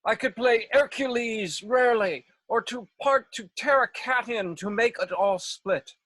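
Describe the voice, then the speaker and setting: A woman's voice: fairly high pitch, measured pace, a bit bright, no echo. A woman playing a self-important, overeager male weaver in a Shakespeare read, declaiming theatrically. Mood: grandiose. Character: Nick Bottom, a bombastic, overeager male weaver and amateur actor. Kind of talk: Shakespeare table read over video call. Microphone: video call, close.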